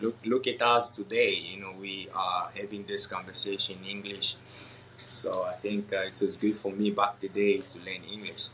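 A young man from Congo with French or Swahili as his first language, answering interview questions in a halting way with many pauses and laughs. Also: low voice, pushed and bright, a little hiss or hum, rough recording. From Democratic Republic of the Congo. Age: young adult. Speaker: MMA fighter guest.

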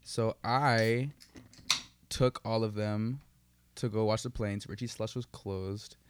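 A man's voice: lowish voice, steady tone, takes it slowly, background noise. A young man co-hosting a podcast, telling stories in casual, profane, joking slang. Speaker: podcast co-host. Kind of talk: casual banter and storytelling.

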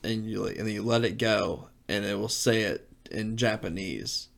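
A man's voice: on the low side, normal speed, vocal fry, fairly bright tone. A man chatting casually as a podcast co-host, telling stories in a slangy way and acting out remarks. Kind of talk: casual chat.

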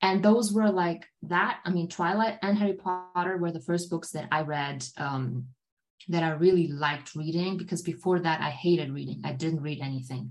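Woman in her 20s, lowish voice, rambles with tons of "like"s. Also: steady tone, moderate pace, rough recording. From Germany. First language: German. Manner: rambling, filler-heavy storytelling with many "like"s. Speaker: guest author. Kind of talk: casual podcast chat.

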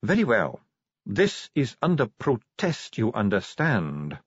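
A man's voice: mid-range voice, huge pitch swings, slow pace, slightly rough, no echo, muffled recording, exaggerated voice. A man voicing a proud, evasive dwarf official in a storybook, with a formal, clipped and commanding manner. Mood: stiff, disdainful.

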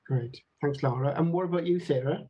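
A man's voice: a little high, fairly quick, dark tone, breathy edge, dull audio. A man hosting a podcast over a video call, introducing guests in a friendly, upbeat way. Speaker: podcast host. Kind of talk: podcast interview.

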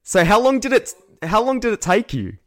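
Relaxed male interviewer. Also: high voice, moderate pace, no room sound, clean studio sound. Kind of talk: casual interview.

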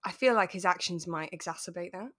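Woman, lower-pitched voice, casual podcast discussion, chatty and explanatory, very dry recording. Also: a little fast, fairly bright tone, no room sound. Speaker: podcast co-host. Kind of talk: relaxed casual discussion of a radio drama.

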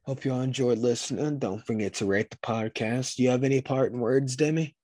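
A man hosting a casual podcast, hoarse from a sore throat and talking in an earnest, rambling way. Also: mid-range voice, a little fast, a little mellow, no room sound. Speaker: podcast host.

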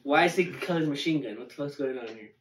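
A man's voice: medium pitch, quick pace. A man in a casual group chat, talking informally with slang and swearing. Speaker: podcast co-host. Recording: echoey room, distant mic.

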